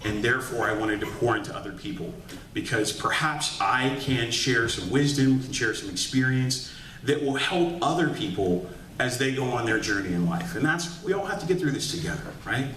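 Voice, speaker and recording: A man, an executive coach, giving a lively motivational workshop talk to an audience, often tossing "right?" back at the room. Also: mid-range voice, moderate pace, far from the mic. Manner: upbeat, calls to the audience with "right?". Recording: echoey room recorded from afar with faint hiss.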